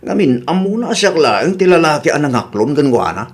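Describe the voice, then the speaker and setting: A man's voice: on the high side, sing-song, moderate pace. A man playing a boastful, excuse-making philandering husband in an Ilocano radio comedy drama, with an Ilocano Filipino accent. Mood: boastful, whining.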